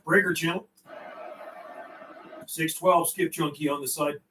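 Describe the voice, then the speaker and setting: A man's voice: on the high side, steady tone, unhurried, pushed and bright, a little hiss or hum. A friendly, informal man from Canada doing casual live radio chat and call-outs as a CB operator. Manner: friendly, informal, repeats call signs and channel numbers.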